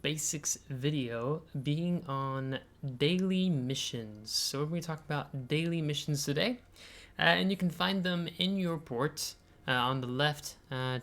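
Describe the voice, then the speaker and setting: An American man hosting a video game tutorial, explaining things step by step in a halting way with frequent filler pauses. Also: medium pitch, a bit bright. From United States. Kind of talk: explanatory game tutorial, semi-scripted screen walkthrough.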